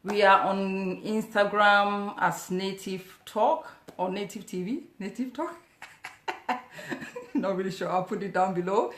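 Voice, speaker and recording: A woman hosting a live-streamed talk show, excitable and quick to laugh, with many fillers. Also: medium pitch. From Ghana. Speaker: host of an online talk show. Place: home in Brisbane. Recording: echoey room.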